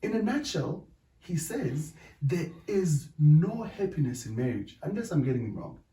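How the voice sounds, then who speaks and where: A middle-aged South African man whose first language is Zulu. He talks in a deliberate way in a casual discussion and keeps checking in with "right?". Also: on the high side, sing-song, dark tone. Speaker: podcast co-host. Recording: echoey room, distant mic.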